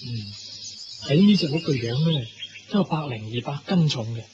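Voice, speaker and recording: A man's voice: mid-range voice, lively intonation, moderate pace, background noise, natural voice. A man with a Hong Kong Cantonese accent playing an earnest, deferential royal guard in a radio drama. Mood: deferential, curious. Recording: dull lo-fi radio recording. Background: music.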